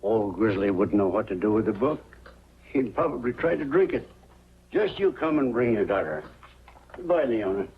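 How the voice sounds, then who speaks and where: A man's voice: fairly low pitch, expressive, normal speed. An actor playing a breezy, irreverent small-town errand man, with folksy, wry delivery in a 1940s film style. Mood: cheerfully irreverent. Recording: noisy, dull 1940s film soundtrack.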